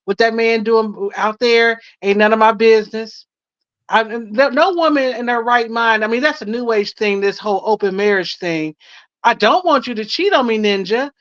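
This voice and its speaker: A woman's voice: on the high side, normal speed, no echo. An American woman in a casual debate, speaking colloquially and insistently as she restates her point. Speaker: panelist on a live stream. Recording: dull lo-fi stream audio.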